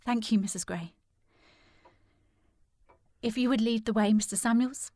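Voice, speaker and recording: A woman's voice: fairly high pitch, a little slow, a little mellow, dry recording. An actress playing a determined, genteel lady with an English accent in a period audio drama, speaking formally and with command. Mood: firm, determined.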